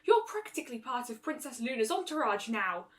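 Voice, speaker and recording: Refined cartoon unicorn lady, played by a woman reader: high, animated, fussy and theatrical. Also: brisk. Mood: gently scolding.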